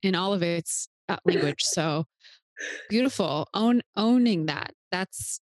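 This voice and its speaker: A woman hosts a podcast and answers her guest in a warmly affirming, spiritual way. Her voice sits slightly low. The recording is dry and close, with no room sound. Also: expressive, measured pace, no echo. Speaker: podcast host. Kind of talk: podcast interview.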